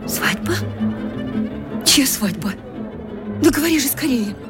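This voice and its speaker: An actress playing a proud, agitated young gentlewoman in a radio drama, imperious and insistent with servants. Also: sits high, expressive, slow, over music. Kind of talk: theatrical radio drama acting.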